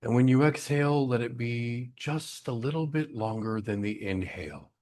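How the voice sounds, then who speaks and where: A man guiding a breathing meditation in a gentle, unhurried way, leaving long pauses between short instructions. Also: medium pitch. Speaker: meditation guide.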